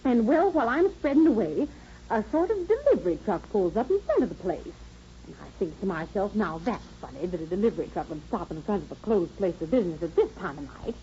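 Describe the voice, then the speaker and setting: An actress playing a garrulous, flattered, homely American shopkeeper who talks in flustered colloquial style in an old radio drama. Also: female voice, mid-range voice, fairly quick. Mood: earnest recounting. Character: chatty, folksy, flattered small-eatery proprietress. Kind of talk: 1930s radio acting. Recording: muffled, noisy vintage radio recording.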